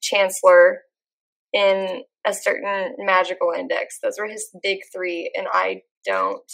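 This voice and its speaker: A young woman co-hosting a podcast, chatting casually and gushing with animated energy. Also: mid-range voice, vocal fry, rough recording. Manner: animated, gushing, quick laughs. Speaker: podcast co-host. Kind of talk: casual chat.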